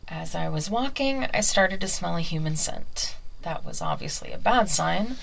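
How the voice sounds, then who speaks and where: A woman's voice: lowish voice, very animated, normal speed, vocal fry, a bit bright, exaggerated voice, poor audio. A woman voicing a friendly, protective young half-human werewolf in a conversational ASMR roleplay, a little defensive in manner. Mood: reassuring, explaining. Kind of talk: ASMR roleplay, acted monologue to the listener.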